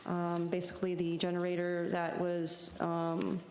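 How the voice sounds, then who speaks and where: Woman code officer, low flat delivery, roomy hall. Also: a little hiss or hum. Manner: flat, formal, halting with fillers. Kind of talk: formal case report. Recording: echoey hall from council mic.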